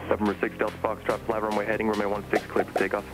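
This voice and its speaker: A man's voice: fairly low pitch, monotone, racing, over music. A man working as an air traffic controller, giving clipped instructions over aviation radio. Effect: aircraft radio.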